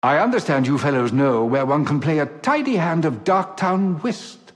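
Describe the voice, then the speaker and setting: A man plays a courtly gentleman officer in a video game, flirtatious and florid. His fairly high voice swoops expressively at an unhurried pace, trailing a long reverb. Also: lively intonation, soft background music, close to an everyday voice. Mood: courtly, wistful. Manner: flowery, courtly, teasing.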